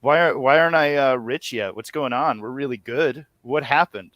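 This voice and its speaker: Male guest, thirties, average pitch, rambling and self-mocking conversational delivery, very little room sound. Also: moderate pace, fairly bright tone, dry recording. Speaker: guest, audio series co-creator and musician. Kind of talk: casual interview chat.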